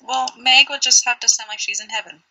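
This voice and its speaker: A woman's voice: fairly high pitch, brisk, bright, forceful tone, lo-fi sound. A young woman co-hosting a casual livestream, chatty and giggly. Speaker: livestream co-host.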